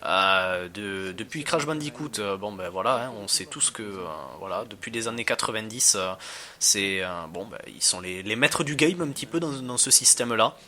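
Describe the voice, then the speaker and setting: A man's voice: on the low side, lively intonation, moderate pace, bright, forceful tone. A man giving casual gameplay commentary as a reviewer, talking in a slangy, hesitant, rambling way. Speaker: video game reviewer.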